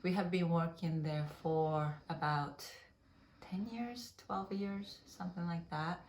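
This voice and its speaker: A woman in her forties introducing an architecture course, speaking in a measured way with frequent pauses and fillers. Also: lowish voice, darkish tone, roomy sound, slight background noise. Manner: slow, measured, many "um" pauses. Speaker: architecture lecturer. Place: small room with a plain wall.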